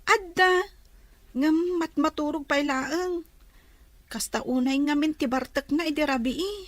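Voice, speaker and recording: An actress playing a gentle teenage granddaughter in a radio drama, speaking softly and often close to tears. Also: female voice, high voice, unhurried, no echo. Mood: friendly. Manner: soft, pleading, often tearful.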